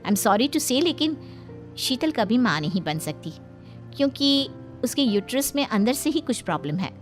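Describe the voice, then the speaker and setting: A woman voicing a matter-of-fact doctor breaking bad news in a cartoon story, measured and explanatory. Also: a little high, sing-song, soft background music. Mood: grave, matter-of-fact. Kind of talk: Hindi cartoon story voice acting.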